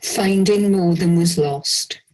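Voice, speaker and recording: A middle-aged woman with a South Wales Valleys accent reads aloud from her own prose. Her voice sits a little low, and her delivery is slow, measured and reflective. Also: a little mellow, low-quality recording. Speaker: writer reading her own piece. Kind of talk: scripted reading of personal prose.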